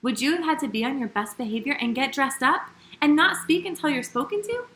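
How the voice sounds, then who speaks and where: A woman pastor hosting storytime for young children, talking and reading aloud in an animated, sing-song manner straight to her listeners. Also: high voice, a little fast, roomy sound.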